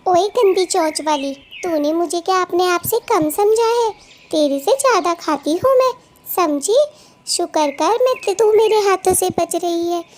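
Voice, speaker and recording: A woman's voice: very high voice, measured pace. A woman voicing a quarrelsome, hot-tempered female cartoon bird with an emphatic, taunting, scolding way of talking. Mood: furious, threatening. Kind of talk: animated cartoon dialogue.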